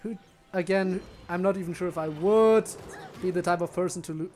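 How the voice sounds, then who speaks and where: A man's voice: sits high, a little fast, a little mellow, a little hiss or hum. A man giving casual live gameplay commentary, often muttering to himself as he plays. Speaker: game streamer.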